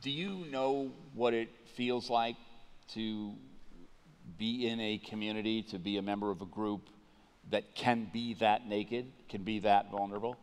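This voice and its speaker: An older man moderating a stage panel, asking questions in a dramatic, folksy, halting way. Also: lowish voice, fairly even intonation, clear, resonant tone. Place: large stage studio with audience. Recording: long hall reverb.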